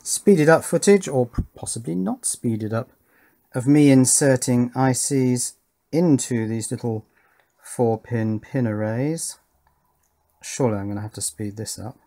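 A man's voice: mid-range voice, measured pace, mellow. A man chatting casually as he explains his circuit board build at a home workbench, with wry asides along the way. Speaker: electronics hobbyist presenter.

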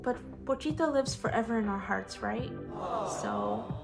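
A woman's voice: fairly high pitch, unhurried, creaky. A young woman chatting casually to viewers as an unboxing vlogger, gushing over cute things and talking to objects as she handles them. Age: young adult. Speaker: vlogger unboxing collectible figures. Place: indoors, living room at home. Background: background music.

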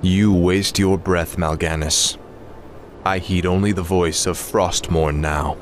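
Low, cold young prince bent on vengeance, measured game-cutscene delivery over faint music. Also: male voice, fairly even intonation. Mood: cold resolve. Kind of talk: video game cutscene acting.

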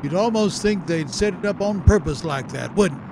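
A man's voice: sits high, lively intonation, background noise. A man playing a folksy, breezy frontier agent in a radio serial, with colloquial phrasing. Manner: folksy, drawn-out colloquial talk. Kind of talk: old-time radio serial acting.

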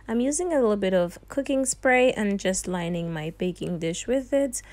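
A woman's voice: medium pitch, mellow. A young woman hosting a cooking tutorial, talking the viewer through each step in a chatty, sassy way and calling them darling and honey. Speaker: cooking channel host.

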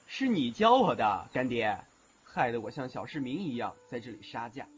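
A man as a brusque, expressive young student. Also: mid-range voice, moderate pace, lightly acted. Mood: casual. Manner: curt, cool, threatening when annoyed. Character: brusque young male student, ghost-seeing exorcist. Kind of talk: Chinese audio drama acting. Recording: dull lo-fi audio, background music.

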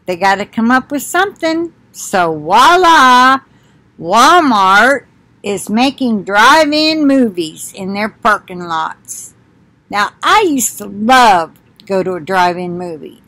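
A woman's voice: mid-range voice, a bit bright, dry recording. An older woman talking casually to her webcam from her living room couch, chatty and reminiscing as she reacts to a video. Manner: chatty, reminiscing, laughs often. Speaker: commentary channel host. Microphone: webcam at desk distance.

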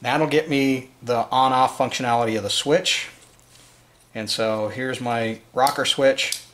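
A man's voice: medium pitch, fairly even intonation, a little slow, a bit bright, slight background noise. A man explaining a hands-on task step by step in an informal, unscripted way, as the presenter of a DIY tutorial. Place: indoors at a work table in a room.

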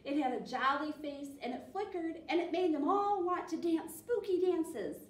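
A woman's voice: very high voice, moderate pace. A woman in her thirties reading a children's picture book expressively as a storytime presenter. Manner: animated, expressive. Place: small indoor library room. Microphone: distant camera. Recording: roomy, distant mic, faint noise.

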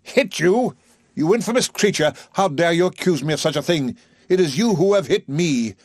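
Male reader playing a hot-tempered professor, pitched up high and swinging wildly, loud bursts of outrage. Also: animated, normal speed, dry recording. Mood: indignant. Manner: explosive, indignant outbursts. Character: blustering male professor, irascible, self-pitying.

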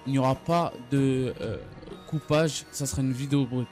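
A man giving an unscripted gaming news recap, speaking haltingly and often stumbling or repeating his phrases. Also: mid-range voice, a little mellow. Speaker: gaming video creator. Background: background music.

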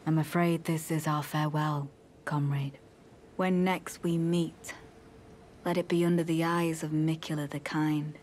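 Low-voiced, devout lady knight. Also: flat delivery, a little mellow, long reverb tail, slight background noise. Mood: calm, courteous. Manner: measured, solemn, formal. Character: female knight, devout, courteous, coldly menacing zealot. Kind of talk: video game voice acting, formal archaic dialogue.

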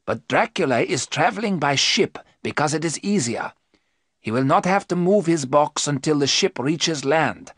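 A man reading aloud, voicing a wise elderly professor in a grave, measured, authoritative way. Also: fairly high pitch, animated, fairly bright tone, breathy edge, no echo, clean studio sound, pitched above the performer's own voice, heavily acted.

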